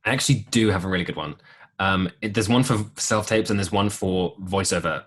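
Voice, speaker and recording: A young man with a deep voice telling stories in a casual podcast interview, with plenty of ums and restarts. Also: fairly quick. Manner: relaxed storytelling with many ums and restarts. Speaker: podcast guest, musical theatre performer.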